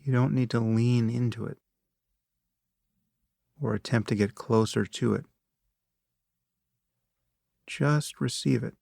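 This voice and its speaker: A man leading a guided meditation, speaking in a measured, unhurried way with long pauses and calm instruction. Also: mid-range voice, vocal fry, no echo, studio quality.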